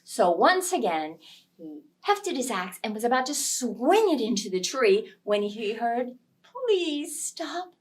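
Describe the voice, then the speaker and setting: Animated woman storyteller, slightly high voice. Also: unhurried, recorded from a distance. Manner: expressive, dramatic delivery with emphasized key words. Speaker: children's librarian storyteller. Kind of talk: oral storytelling for young children.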